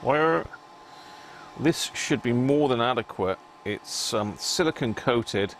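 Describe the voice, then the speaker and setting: A man hosting a live repair stream, chatting casually to viewers and thinking aloud in short, halting phrases. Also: mid-range voice, lively intonation, a bit bright, slight background noise.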